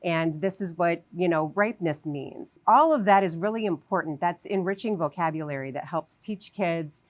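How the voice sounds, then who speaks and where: A woman's voice: on the low side, normal speed, few pauses. A woman teacher explaining in a conversational interview style, giving everyday examples as she talks. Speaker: English teacher and literacy advocate being interviewed.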